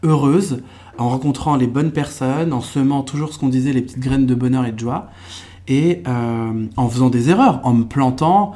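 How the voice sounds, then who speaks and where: A man's voice: medium pitch, normal speed. Young French man, reflective podcast guest. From France. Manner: relaxed and reflective, many fillers and restarts. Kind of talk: casual conversational storytelling.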